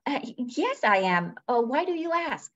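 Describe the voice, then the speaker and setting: Woman playing plucky young job seeker, high-pitched, raspy edge, polite, earnest, old-time radio drama, slightly muffled sound. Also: sing-song, measured pace, heavily acted. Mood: eager, earnest. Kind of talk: 1940s radio drama acting.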